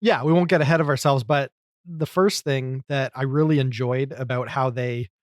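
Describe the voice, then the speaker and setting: A man's voice: medium pitch, fairly even intonation, normal speed, no echo, clean studio sound. A man co-hosting a podcast, talking casually and at length in a chatty, explanatory way. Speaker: podcast co-host. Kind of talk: casual discussion and commentary.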